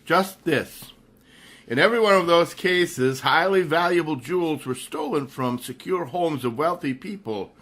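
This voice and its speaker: A man plays a wealthy, self-assured sleuth in a radio play. The speech is measured, courteous and precise, in a slightly high register. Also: a bit bright. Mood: composed. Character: suave, confident wealthy male amateur sleuth. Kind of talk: radio mystery acting.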